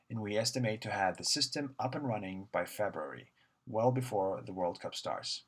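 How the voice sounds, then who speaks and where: A young man in a student pitch video, reading a scripted explanation in a steady voice. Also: slightly rough. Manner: steady, read-aloud delivery.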